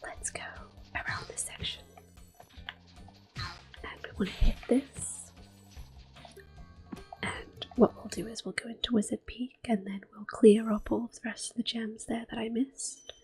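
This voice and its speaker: A woman softly whispering gentle, unhurried ASMR commentary as they play a video game, explaining each step in a breathy voice. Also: fairly high pitch, fairly even intonation, faint music behind. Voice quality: breathy whispering, soft-spoken. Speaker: gaming ASMR video host. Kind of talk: soft-spoken ASMR gameplay commentary.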